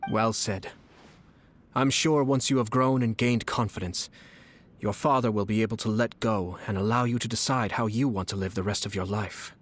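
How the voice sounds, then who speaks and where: Man acting a low-voiced, composed young nobleman. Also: steady tone, a little hiss or hum, close to an everyday voice. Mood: gracious, assured. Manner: formal, measured, courtly, composed. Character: refined young nobleman, calm, shrewd clan head and commissioner. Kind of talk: scripted video game character dialogue. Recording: game music behind the voice.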